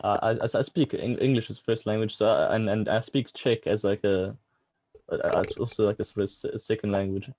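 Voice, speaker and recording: A man's voice: on the low side, normal speed, a little mellow, dry recording, highs cut off. A man co-commentating a chess match, working through move sequences aloud in a thoughtful way. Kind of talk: chess commentary, calculating variations.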